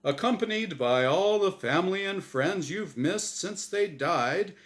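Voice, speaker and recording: A man voicing a gleeful, smugly cheerful angel game-show host with a big announcer delivery, in a story reading. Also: heavily acted. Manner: booming, exaggerated announcer delivery.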